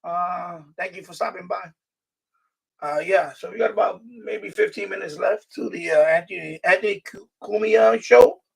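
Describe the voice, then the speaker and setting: A man's voice: high voice, normal speed, vocal fry, slightly rough, recorded from a distance, low-quality recording. A man hosting a casual live stream, chatting in a rambling way and repeating himself. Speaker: live stream host, radio hobbyist. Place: New York City.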